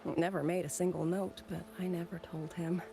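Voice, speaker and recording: An actress playing a gentle, wistful widowed musician turned soldier in a game, speaking in a soft, measured, reflective way. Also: female voice, fairly low pitch, level tone, creaky. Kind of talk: scripted game dialogue.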